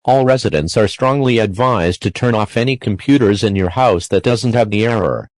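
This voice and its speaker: Low formal emergency alert man. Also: moderate pace, no echo. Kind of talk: scripted emergency broadcast.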